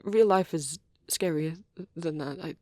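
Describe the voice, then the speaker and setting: A woman voicing a shy, nervous young male vampire in a soft ASMR roleplay, hesitant and stammering, with a breathy, husky voice. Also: low voice, unhurried. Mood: shy, flustered. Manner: hesitant, stammering, self-doubting. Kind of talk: ASMR boyfriend roleplay, improvised rambling.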